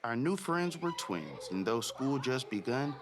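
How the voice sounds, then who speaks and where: A man's voice: mid-range voice, big pitch swings, measured pace. A man hosting a read-aloud for children, narrating expressively and speaking directly to his young listeners.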